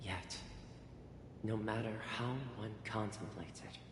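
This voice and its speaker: A man performing a solemn chronicler, narrating a fantasy game epic in a grave, measured storytelling manner. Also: steady tone. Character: solemn male chronicler of a space fantasy saga. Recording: echoey hall reverb with rumbling noise.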